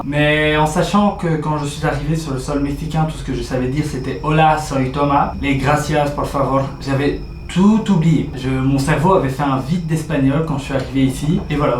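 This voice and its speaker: Teen guy chatting through his vlog q&a, kinda high voice, echoey room. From Belgium. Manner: chatty, reads out questions then answers them. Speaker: exchange student vlogger. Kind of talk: casual vlog Q&A. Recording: roomy echo with faint ambient noise.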